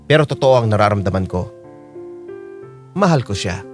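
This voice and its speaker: An actor playing a lovestruck aging joker with a Filipino accent, confiding in a reflective radio drama monologue. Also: male voice, fairly low pitch, expressive, normal speed, darkish tone, close to an everyday voice. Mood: sincere, wistful. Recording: guitar music under the monologue.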